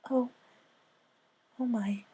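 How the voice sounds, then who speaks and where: Man voicing a high, gentle cartoon girl pony. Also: fairly even intonation, very slow, husky, full character voice. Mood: gentle concern. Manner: quiet, kindly, tentative. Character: gentle, caring, soft-hearted female cartoon pegasus pony. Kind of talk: character voice in fan fiction reading.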